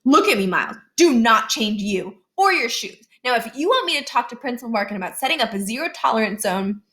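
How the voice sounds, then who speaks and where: A woman's voice: a little high, big pitch swings, fairly quick, sharp tone. A woman voicing an earnest, overprotective mother who frets and lectures her schoolboy son insistently. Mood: alarmed, insistent.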